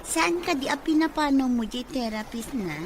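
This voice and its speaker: A woman playing a conniving, mocking sweetheart villainess in a radio drama, drawing out her words slowly. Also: high voice, animated, crowd noise. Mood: conniving, amused.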